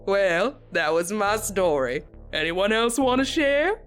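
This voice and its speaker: An actor plays a frightened clawed monster telling a horror story to friends. The delivery is slow and theatrical, with stammers in a mid-pitched voice. Quiet music runs underneath. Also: expressive, smooth. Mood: haunted storytelling. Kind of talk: dramatic comic-dub storytelling and character acting.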